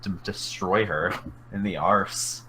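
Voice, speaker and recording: A man's voice: deep voice, steady tone, slow, bright, forceful tone, slight background noise, poor audio. A young man as co-host in a casual anime debate, giving short wisecracking replies.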